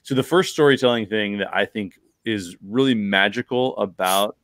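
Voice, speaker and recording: A middle-aged man with a fairly low voice talks as a podcast host, analysing in a conversational, explanatory way. He repeats himself for emphasis, and the close microphone gives a dry sound. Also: moderate pace, fairly bright tone, no echo. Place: bedroom, video call.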